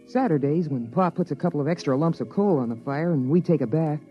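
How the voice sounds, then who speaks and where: An actor playing a curious young son who narrates plainly and earnestly, in a 1950s radio drama. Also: male voice, fairly high pitch, fast talker, lightly acted. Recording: dull 1950s radio broadcast with music.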